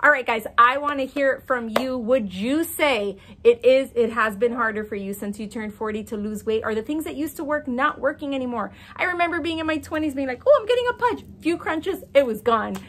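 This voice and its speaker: A woman in her forties with a fairly high voice speaks straight to camera from an outdoor patio by the water. Her talk is casual, chatty and frank, and she gestures as she goes. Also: a little fast, few pauses, a little hiss or hum. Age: 40s. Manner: animated, talks with her hands, chatty and frank. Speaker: fitness coach and vlogger.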